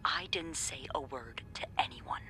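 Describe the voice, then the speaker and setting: An actress playing a chatty, wry young woman working as a hotel receptionist in a video game, with playful, expressive delivery. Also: extremely low pitch, unhurried, in a whisper, exaggerated voice. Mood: intrigued, helpful. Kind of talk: video game dialogue acting.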